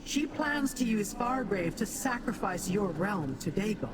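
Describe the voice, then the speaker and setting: A woman's voice: mid-range voice, expressive, unhurried, close to an everyday voice. A woman as a haughty scheming Daedra. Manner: haughty, calculating, condescending. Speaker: game character, scheming ally. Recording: game audio with background noise.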